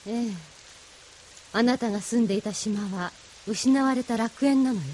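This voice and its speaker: A woman's voice: fairly high pitch, normal speed, warm tone, a little hiss or hum, close to an everyday voice. A woman playing an idealistic, solemnly persuasive mentor in a Japanese audio drama, with a didactic, feminine way of speaking.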